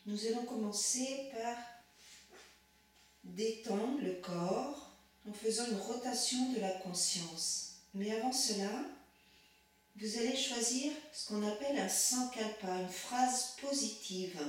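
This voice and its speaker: A woman leads a guided relaxation in a calm, measured manner. She speaks slowly, in short phrases. The recording is very echoey, with the microphone some distance from her. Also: fairly high pitch. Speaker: yoga nidra instructor.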